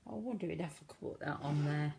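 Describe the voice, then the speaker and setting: A woman with a cold, croaky voice, chatting casually through a makeup tutorial in a self-deprecating way. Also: low-pitched, brisk, background noise. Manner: chatty, self-deprecating, talks while working. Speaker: beauty vlogger.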